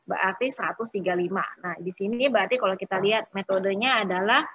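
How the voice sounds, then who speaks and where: A woman teaches in an informal, step-by-step way. Her voice is bright and forward with a husky edge, at a medium pitch. She pauses often and drops in little check-in tags as she explains. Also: no room sound, lo-fi sound. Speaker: accounting instructor. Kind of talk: informal lecture, working through exercises step by step.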